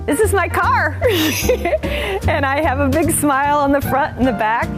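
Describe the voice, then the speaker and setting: A woman's voice: high-pitched, lively intonation, normal speed. A woman telling her own story in upbeat fashion, as an interviewee in a video feature. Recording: loud music over the voice. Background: loud music.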